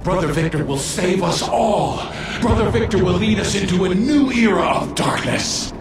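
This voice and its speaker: A man's voice: sits high, sing-song, normal speed. A man playing a fervent, devout cultist henchman in a video game, speaking like a true believer proclaiming his faith. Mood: zealous. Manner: zealous, proclaiming. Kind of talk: video game character acting. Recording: very echoey, distant, noisy ambience.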